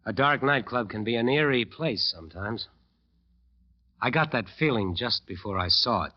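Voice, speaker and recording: An actor playing a despairing American bandleader in a 1940s radio drama, with weary, slangy talk. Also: male voice, mid-range voice, lively intonation, normal speed, close to an everyday voice. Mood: brooding narration. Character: haunted, despairing jazz bandleader confessing his crime. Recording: dull old radio recording with music.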